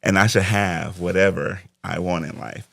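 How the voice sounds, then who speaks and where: Forties male life coach, very low gravelly voice, dry close sound, thoughtful and faith-driven. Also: steady tone, normal speed, a bit bright, no room sound. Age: 40s. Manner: reflective, faith-driven talk. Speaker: interview guest, life coach. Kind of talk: casual interview conversation.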